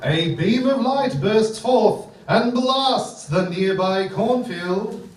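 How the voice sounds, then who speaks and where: A man's voice: high-pitched, slow pace. A man announcing and narrating a live radio serial to a theatre audience. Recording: echoey theatre, distant mic, audience noise.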